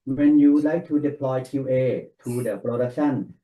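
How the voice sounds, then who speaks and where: Man, meeting presenter, mellow voice, step-by-step explanations, roomy echo. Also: mid-range voice, measured pace. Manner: relaxed, explains step by step in non-native English. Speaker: technical consultant presenting to a client team. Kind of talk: casual work meeting talk.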